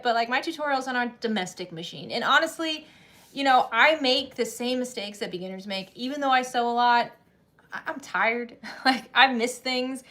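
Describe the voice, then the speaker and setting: Chatty woman host, slightly high voice. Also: a bit bright. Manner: chatty, laughs often, many restarts. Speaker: sewing channel host. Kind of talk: casual livestream chat.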